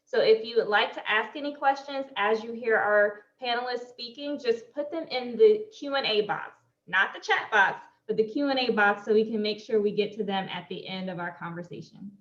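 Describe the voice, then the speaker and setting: Moderator, female, fairly high voice, echoey and muffled online audio, friendly and procedural. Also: normal speed, a bit bright.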